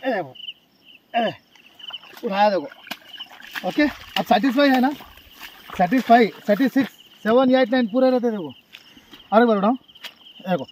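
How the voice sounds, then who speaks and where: A man, chatting casually and excitedly to viewers as an outdoor fishing vlogger. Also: high voice, normal speed, pauses often. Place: outdoors on a lake bank. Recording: outdoor phone recording, faint nature noise.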